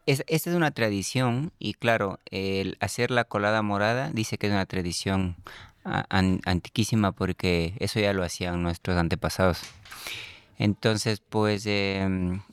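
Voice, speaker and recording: A man's voice: on the low side, smooth, dry recording. A middle-aged man giving hesitant, unscripted interview answers, searching for words. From Ecuador. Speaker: interview guest.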